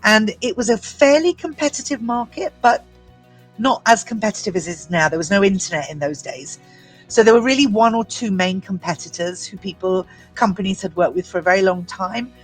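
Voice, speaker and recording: A woman's voice: medium pitch, brisk, soft background music, low-quality recording. English-accented woman telling her story. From United Kingdom. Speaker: guest entrepreneur. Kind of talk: prepared personal story.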